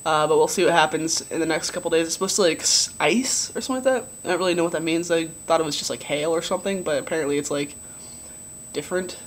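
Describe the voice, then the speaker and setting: A young man with a relatively low voice giving a casual video diary update, rambling with many ums and likes. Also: quick pace, a little hiss or hum. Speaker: vlogger.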